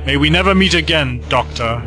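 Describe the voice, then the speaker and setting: A man's voice: a little high, expressive, brisk, lightly acted. An actor playing a cold, commanding male villain in an audio drama, smug and menacing. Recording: music bed behind voices.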